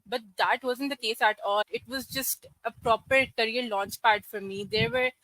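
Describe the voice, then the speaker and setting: A woman in her twenties from Karachi, a podcast guest telling her career story in a steady, articulate, matter-of-fact way. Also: fairly high pitch, moderate pace, no room sound, low-quality recording. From Karachi, Pakistan. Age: 20s. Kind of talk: podcast interview.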